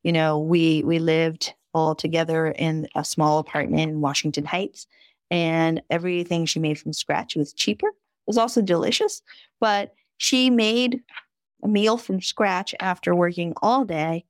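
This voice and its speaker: Lively fifties woman telling family stories. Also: low-pitched, measured pace, dry recording, clean studio sound. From Dominican Republic. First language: Spanish. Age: 50s. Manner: lively, vivid storyteller with frequent "right?" asides. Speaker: podcast guest, physician. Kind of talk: casual conversation, personal storytelling.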